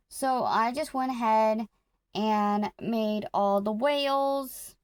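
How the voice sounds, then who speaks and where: A woman vlogging casually about her crochet projects, rambling and correcting herself as she goes, her throat a little rough. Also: on the high side, no room sound, studio quality. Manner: rambling, self-correcting, talks to viewers while working. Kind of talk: casual chatty vlog.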